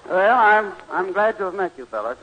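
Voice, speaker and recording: An actor playing a meek, earnest amateur verse writer in a 1940s radio comedy, hesitant, stammering and polite. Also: male voice, high-pitched, sing-song, fairly quick, highs cut off, heavy background noise. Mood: flustered, polite. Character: meek, queasy, earnest greeting-card verse writer and horse hobbyist. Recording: old 1940s radio broadcast.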